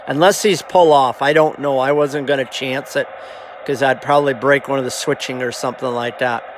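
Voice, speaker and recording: A man giving a casual, halting, rambling hands-on demonstration as a hobbyist presenter. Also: mid-range voice, steady tone. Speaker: hobbyist presenter showing his CB radio. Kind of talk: casual hands-on show-and-tell. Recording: noisy amateur camera audio. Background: clear background noise.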